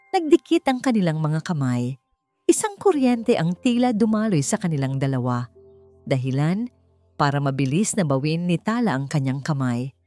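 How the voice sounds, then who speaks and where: A woman's voice: low voice, mellow. A woman reading a scripted romantic story as a dramatic narrator.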